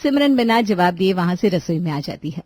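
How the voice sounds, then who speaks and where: A woman storyteller reading scripted narration for an animated family story. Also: fairly low pitch, fairly quick, dry recording.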